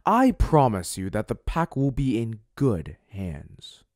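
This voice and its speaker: A man as a slow, firm werewolf pack leader. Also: medium pitch, very animated, darkish tone, dry recording, clean studio sound. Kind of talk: amateur roleplay voice acting.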